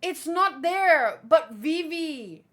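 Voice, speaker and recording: A woman hosting a casual read-along, reading comic dialogue aloud and chatting as an animated fan. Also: high voice, very slow, a little hiss or hum. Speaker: host reacting to a manga chapter read-along.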